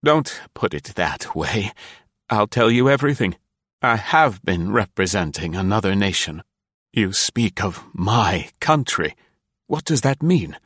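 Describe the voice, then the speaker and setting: A man's voice: fairly low pitch, very animated, unhurried, a bit bright, husky, no echo, studio quality, heavily acted. A man playing an ardent, defensive male spy who pleads with his lover in a dogged, melodramatic way.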